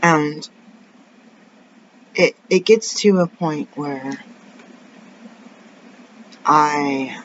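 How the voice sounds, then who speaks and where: A woman with a raspy, deep voice rambles through a casual vlog, drifting from one tangent to the next as she vents. The recording is dull and lo-fi, with a faint hiss underneath. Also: drawn-out words, pauses often. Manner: rambling, tangent-prone, venting. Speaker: vlogger. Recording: dull lo-fi sound with faint noise.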